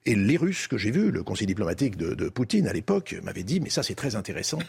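An older man with a French accent from France, husky-voiced, recounting diplomatic history as a debate guest in a measured, didactic way with emphatic phrasing. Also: on the low side, very animated, darkish tone.

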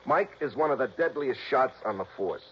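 An actor playing a blunt, excitable police lieutenant in an old radio drama. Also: male voice, mid-range voice, a little fast, natural voice. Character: gruff, worried male police lieutenant. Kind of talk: 1940s radio acting. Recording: dull old radio broadcast with faint hiss.

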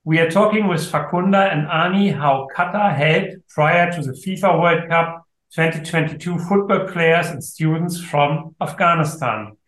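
A male professor hosts an interview podcast, asking formal, measured questions. His voice sits a bit high for a man. The sound carries a noticeable room echo. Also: fairly bright tone. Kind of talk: interview hosting. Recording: echoey room.

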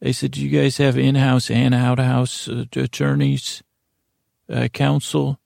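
Male podcast monologist, very gravelly, close dry sound, improvised and meandering, keeps interrupting himself. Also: mid-range voice, level tone, darkish tone, no echo. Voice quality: gravelly, creaky. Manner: meandering, halting, self-interrupting. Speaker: podcast host.

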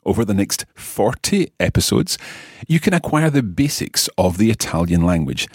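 A low-voiced man presents a language podcast with bouncy, animated pitch. His voice has a slight husk, and it is recorded close and dry. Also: normal speed, slightly rough, no room sound. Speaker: language teacher and podcast host. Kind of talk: podcast lesson intro.

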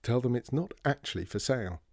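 A man voicing a brisk, wry, no-nonsense woman who takes charge. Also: mid-range voice, animated, a little mellow, dry recording, clean studio sound, voice pushed up. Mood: brisk, practical.

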